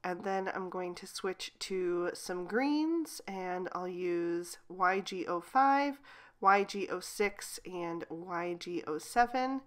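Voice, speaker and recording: A woman hosting a craft channel, slightly hoarse from a cold, calmly explaining step by step as she narrates a card-making coloring tutorial. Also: medium pitch.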